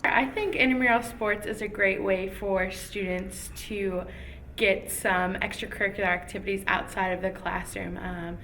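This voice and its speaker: A woman's voice: mid-range voice, steady tone, moderate pace, a bit bright, recorded from a distance. A young woman from Chicago speaks in an upbeat, friendly interview voice. She sits a little back from the microphone, and faint room noise is underneath. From Chicago, USA. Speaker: intramural sports coordinator. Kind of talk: interview answers.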